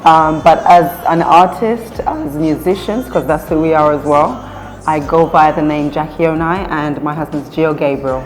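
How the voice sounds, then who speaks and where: A woman from Uganda with a very low voice talks about her start in music. She speaks softly and stops often to find her words. Faint music plays under her. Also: normal speed, low-quality recording. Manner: soft-spoken, hesitant, many fillers. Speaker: singer and pianist, one half of a duo. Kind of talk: relaxed interview talk.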